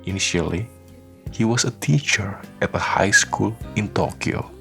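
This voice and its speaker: A man reading scripted narration for a radio drama, with soft guitar music behind him. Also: fairly low pitch, a little slow, gravelly.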